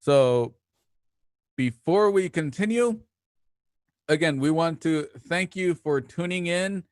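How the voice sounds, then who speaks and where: A male show host with a slightly high voice and very little room around it. He delivers intros and promos casually, wandering into playful asides as he talks. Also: slow, darkish tone, no room sound, clean studio sound. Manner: playful, talkative, rambling asides.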